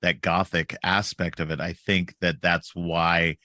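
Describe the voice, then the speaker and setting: A man's voice: sits low, little pitch movement, a little slow, bright, forceful tone, no room sound, clean studio sound. A middle-aged man hosting a casual film podcast, stuffed up from a cold, rambling with frequent restarts. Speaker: podcast host.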